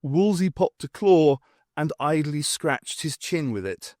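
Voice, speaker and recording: A man narrating a comic fantasy audiobook in a wry, theatrical way with deliberate pauses. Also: on the high side, lively intonation, darkish tone, no echo, clean studio sound.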